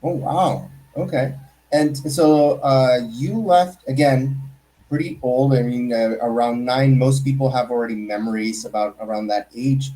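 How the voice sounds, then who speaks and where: A man hosts a casual interview, asking friendly questions with the odd filler. His voice is mellow and warm at a middle pitch. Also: steady tone, a little slow, rough recording. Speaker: host.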